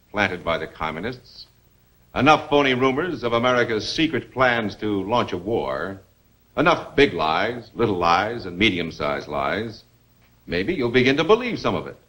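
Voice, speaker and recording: A man narrating a 1950s military propaganda film with dramatic, deliberate phrasing. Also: on the low side, very animated, sharp tone.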